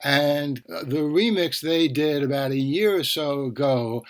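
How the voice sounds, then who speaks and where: A man's voice: on the high side, unhurried. A man hosting a podcast, talking conversationally with rambling asides and self-corrections. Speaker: podcast host.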